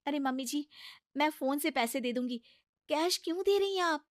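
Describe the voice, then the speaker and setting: A woman's voice: high voice, lively intonation, moderate pace, dry recording, studio quality, voice pushed up. A woman playing an exasperated, cheeky young daughter-in-law in an acted comic family story, insistent and pleading.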